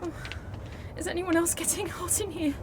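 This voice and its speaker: A woman's voice: very high voice, fairly even intonation, normal speed, over music. A woman acting a pompous, self-important, melodramatic society lady in an amateur radio play. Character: haughty, self-important, fussing lady who lunches. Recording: clearly noisy amateur recording.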